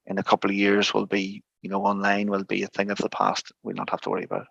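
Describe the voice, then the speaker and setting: Low, gravelly man giving an online tutorial. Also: very animated, fast, a bit bright, no echo. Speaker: guest expert presenter. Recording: slightly dull, lo-fi online call audio.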